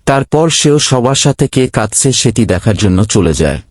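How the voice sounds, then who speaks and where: A man's voice: medium pitch, big pitch swings, normal speed, no room sound, studio quality. A man narrating a scripted ghost story as its storyteller.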